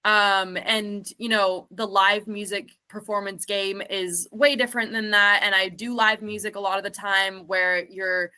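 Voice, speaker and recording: A woman's voice: medium pitch, steady tone, unhurried, few pauses, bright, forceful tone, smooth. A woman singer and self-producing artist, answering interview questions in a chatty, reflective way full of fillers.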